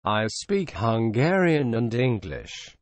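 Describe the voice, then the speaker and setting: A man reading short English phrases for learners, slow and clearly spaced. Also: medium pitch, sing-song, dry recording.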